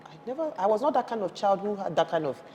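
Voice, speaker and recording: A woman's voice: medium pitch, very animated, quick pace, crowd noise. A woman in her thirties from Ghana, raised in Nigeria, telling her career story as an interview guest, with lots of restarts and fillers. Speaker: guest, energy industry professional. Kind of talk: conversational interview. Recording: reverberant room with faint noise.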